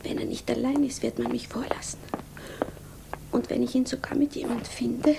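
An actress playing an anxious, doubting woman in love, in a breathy whisper, as an inner voice in a 1950s radio drama. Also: on the high side, fairly even intonation, moderate pace. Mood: agitated, doubting.

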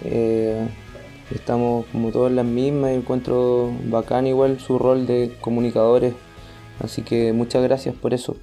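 Very mellow Chilean man chatting over music. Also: medium pitch, little pitch movement, normal speed. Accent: Chilean Spanish. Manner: informal, colloquial, hesitant. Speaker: podcast and theatre artist interviewed as guest. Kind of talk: casual interview talk.

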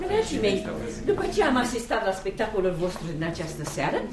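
A genteel middle-aged landlady in a radio drama. She is effusive and sociable, and her medium-pitched voice swoops extremely widely as she chats. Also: huge pitch swings, over loud music. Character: chatty, hospitable married landlady, genteel and theatre-loving. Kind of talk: Romanian radio theatre acting.

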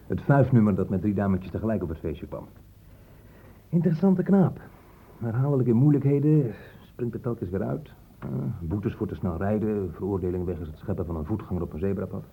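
Husky low-voiced police inspector. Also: male voice, animated, a little fast. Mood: wry, assured. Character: male police inspector, dry, worldly, sceptical. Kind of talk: Dutch radio play acting. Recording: muffled, dull old radio recording.

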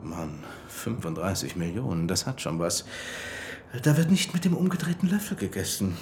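An actor playing a pompous, chummy society doctor who boasts in colloquial, emphatic German radio drama style. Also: male voice, deep voice, big pitch swings, normal speed, darkish tone, slight background noise. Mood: self-satisfied boasting.

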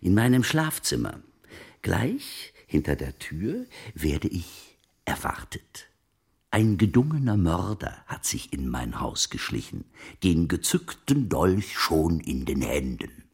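An actor in a German radio drama playing a wily, wealthy Italian merchant of old, with a gravelly, husky voice and a theatrical, insinuating delivery. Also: male voice, low voice, very animated, takes it slowly, exaggerated voice. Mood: dramatic, suspenseful.

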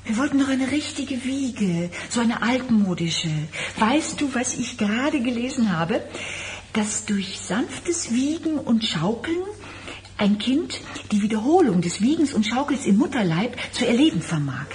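An actress in a German radio play voicing a touchy, suspicious pregnant wife who probes and reproaches. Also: female voice, a little high, lively intonation, a little slow, a little mellow, slight background noise. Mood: earnest. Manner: probing, reproachful.

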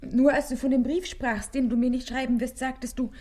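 A high-voiced woman in love in a literary radio play. She is devoted and yielding, speaking with wistful tenderness and imploring softness, often close to a whisper. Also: steady tone, fairly quick. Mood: searching. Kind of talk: German radio play acting, literary dialogue.

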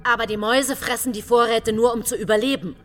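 A woman's voice: a little high, fairly even intonation, fast, soft background music, close to an everyday voice. An actress playing a vain, easily offended housewife in a radio drama, pert and affronted, with a long echo around her voice. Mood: resentful, proud. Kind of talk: German radio drama acting. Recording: long reverberant hall-like echo.

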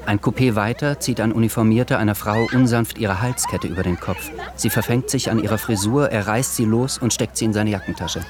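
An actor serving as a sober, observant narrator, reading literary scene descriptions in a radio drama. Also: male voice, lowish voice, brisk, few pauses, crowd noise, lightly acted.

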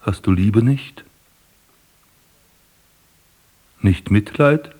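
A proud aging prince with a low, husky voice. He declaims solemnly and poetically, a possessive master and father figure in a literary radio play. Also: slow pace, darkish tone, rough recording. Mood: solemn, pleading. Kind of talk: literary German radio drama acting.

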